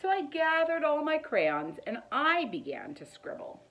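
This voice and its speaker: A middle-aged woman reads a children's picture book aloud in an expressive, deliberate storytelling manner. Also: sits high, lo-fi sound, a little hiss or hum. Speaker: teacher reading aloud. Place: living room, indoors.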